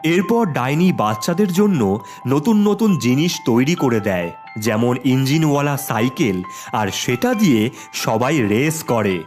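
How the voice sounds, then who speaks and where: A man's voice: mid-range voice, big pitch swings, measured pace, darkish tone, soft background music. A man narrating a scripted children's story in a dramatic storytelling manner.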